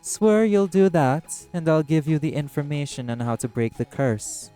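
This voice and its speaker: A man playing a proud, ancient arch-demon who runs a casino in an audio roleplay, with a haughty, measured, theatrical drawl. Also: on the high side, expressive, dark tone, faint music behind, pitched above the performer's own voice, natural voice. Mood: sly, bargaining. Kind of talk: scripted audio roleplay monologue.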